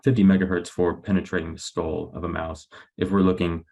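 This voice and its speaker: Young male researcher, very low gravelly register, explaining technical slides on a webinar. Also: level tone, a little fast, a little mellow. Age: young adult. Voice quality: low, creaky voice. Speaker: graduate student researcher presenting. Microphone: video call.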